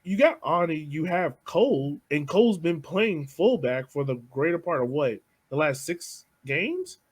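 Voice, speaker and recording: A man's voice: a little high, big pitch swings, unhurried, breathy edge. A man co-hosting a podcast, chatting casually about soccer substitutions and often saying "like.". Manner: casual, uses "like" a lot. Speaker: podcast co-host.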